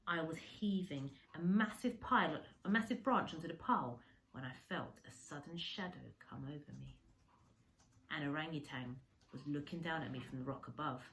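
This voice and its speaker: A woman's voice: low voice, moderate pace, fairly bright tone, low-quality recording. A woman reading a children's story aloud like a teacher, expressively, with dramatic emphasis on descriptive words.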